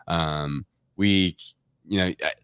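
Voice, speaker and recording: A man's voice: low-pitched, slow, fairly bright tone, clear, resonant tone, no echo, studio quality. A man talking casually as a company guest in an interview, informal and peppering his explanations with hedging filler phrases. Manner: informal, hedging with frequent "like" and "kind of". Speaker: tech company representative, podcast guest. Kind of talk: casual product talk in an interview. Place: remote recording on a live stream call.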